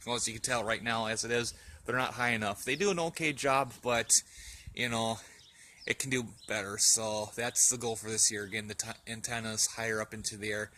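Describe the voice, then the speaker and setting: A man giving a casual, unscripted show-and-tell as a vlogger outdoors, speaking in a halting way as he thinks aloud. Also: fairly low pitch, steady tone, pushed and bright. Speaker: hobbyist vlogger. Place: outdoors in a yard in winter. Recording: outdoor camera audio with faint background noise.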